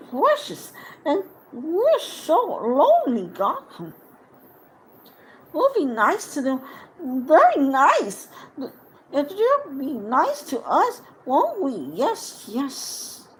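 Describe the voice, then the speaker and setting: A wretched little male creature acted by a woman reading a storybook whines and wheedles in a very high, thin voice. The pleading is pitiful and ungrammatical, swinging wildly in pitch, over noticeable background noise. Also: heavily acted, low-quality recording. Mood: wheedling. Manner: whining, pleading, broken grammar. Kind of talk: audiobook character voice.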